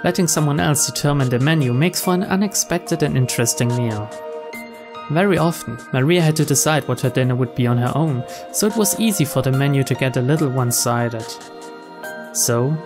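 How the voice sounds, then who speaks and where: A man with a mid-pitched voice narrates a visual novel. He reads the scripted story aloud, with faint music playing underneath. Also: brisk. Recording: soft music behind the voice.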